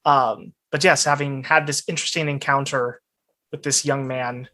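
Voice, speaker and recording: A man narrating an improvised tabletop game as game master, in a measured storytelling manner. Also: medium pitch, fairly even intonation, bright, forceful tone.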